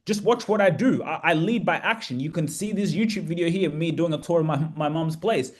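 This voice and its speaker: A man's voice: a little high, brisk. Upbeat Australian-raised man coaching casually. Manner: energetic, loose and repetitive, restarts often. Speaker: business coach. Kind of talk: casual talk to camera.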